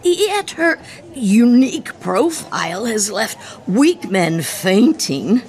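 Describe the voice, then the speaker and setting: An actress playing a witty, boozy woman poet with theatrical bravado in a radio play, in a wry, declamatory, halting tipsy manner. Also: fairly high pitch, big pitch swings, darkish tone. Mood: amused, gossipy. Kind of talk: radio theatre acting in verse.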